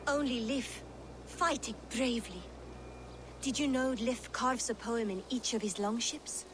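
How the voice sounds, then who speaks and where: An actress playing a gentle, admiring young Viking woman in a video game cutscene. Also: a little high, fairly even intonation, a little slow, noisy background, natural voice. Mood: admiring, wistful.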